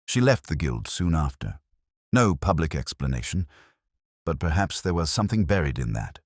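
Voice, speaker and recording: Deep, unassuming police inspector played by a man. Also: sing-song, no room sound, clean studio sound, exaggerated voice. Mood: thoughtful. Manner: measured, unassuming questioning. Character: polite, quiet-witted male police inspector.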